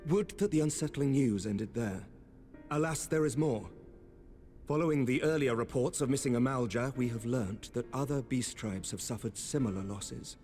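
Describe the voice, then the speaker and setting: A man's voice: mid-range voice, normal speed, darkish tone, close to an everyday voice. An actor playing a grave, dignified statesman in a fantasy game, with formal, eloquent delivery. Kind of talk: fantasy video game voice acting. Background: orchestral game music.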